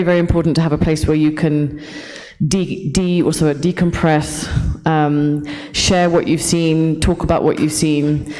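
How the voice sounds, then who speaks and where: A woman's voice: low voice, dark tone. A woman giving a thoughtful answer as a panelist in a discussion. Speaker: panelist, media professional.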